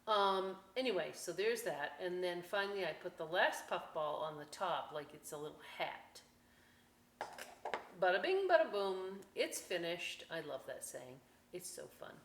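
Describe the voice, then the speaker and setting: A woman's voice: on the low side, sing-song, measured pace, a bit bright. A woman hosting a children's library story time and craft demonstration, talking in a folksy, playful way with chatty asides to young viewers. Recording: echoey room, some background noise.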